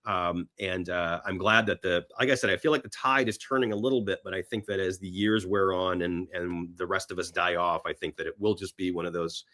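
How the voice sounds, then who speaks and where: A man's voice: low voice, fairly even intonation, a little fast, hardly any pauses, sharp tone. Emphatic male film storyteller. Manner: emphatic storyteller, often voicing quotes. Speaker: podcast guest, film industry veteran. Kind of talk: casual anecdotal film-history conversation.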